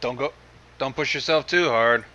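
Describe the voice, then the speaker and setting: A man's voice: medium pitch, normal speed, bright, forceful tone, highs cut off. A man hosting a livestream with casual chat over a voice call. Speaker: livestream host.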